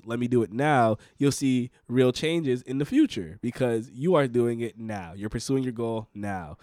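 A man's voice: mid-range voice, darkish tone, dry recording. A man in his late twenties chats as a podcast co-host, leaning on his points with earnest repetition like a motivational coach. His voice sits in an ordinary middle range and is recorded close and dry, with no room sound. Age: 20s. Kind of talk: casual conversation.

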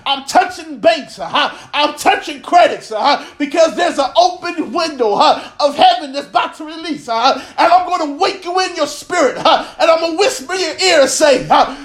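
A man preaching prophecy in a fervent charismatic style, shouting short repetitive chanted phrases punctuated by grunted breaths. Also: very high-pitched. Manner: exclamatory, often shouting, repetitive.

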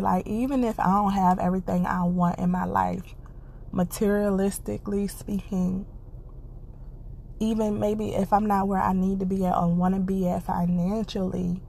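A woman's voice: mid-range voice, vocal fry, darkish tone, slight background noise. A woman hosting a podcast, giving an earnest, encouraging casual monologue and repeating her phrases, congested and stuffy. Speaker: podcast host.

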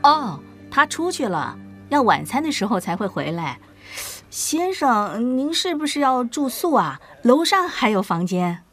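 An actress playing a warm, fussing innkeeper in a Mandarin audio drama, chatty and full of exclamations. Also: female voice, fairly high pitch, very animated, a little slow, soft background music. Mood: helpful. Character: warm, fussing married woman keeping an inn.

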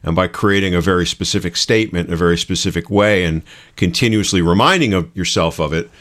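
Low-voiced man, casual podcast co-host. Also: fast, a bit bright. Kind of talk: casual conversational explaining.

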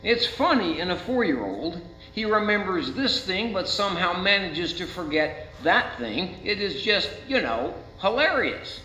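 An American man preaching a sermon as a pastor, speaking in rhetorical questions with deliberate dramatic emphasis. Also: high-pitched, sing-song, sharp tone. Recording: roomy, muffled, with faint mains hum.

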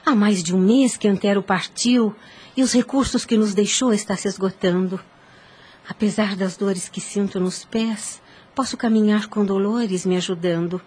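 A woman's voice: mid-range voice, steady tone, moderate pace, a little mellow, breathy edge, close to an everyday voice. An actress playing a dutiful, resolute widowed lady in a Brazilian radio soap, with formal, theatrical phrasing. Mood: determined.

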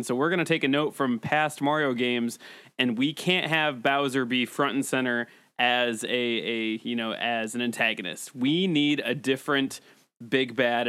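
A man's voice: medium pitch, fairly bright tone, no room sound. Male podcast host, dry close sound. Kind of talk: casual game-show style moderating.

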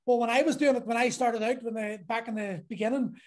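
Mellow-voiced man hosting. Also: very high-pitched, fairly even intonation, fast. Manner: rambling, restarts thoughts and piles up clauses. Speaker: podcast host. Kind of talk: casual conversational interview.

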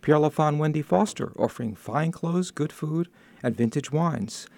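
New york guy hosting radio talk, gravelly mellow voice, jokey and chatty. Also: a little high, expressive, moderate pace, no room sound. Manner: chatty, joking, self-deprecating. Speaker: radio host. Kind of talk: radio interview. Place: radio studio.

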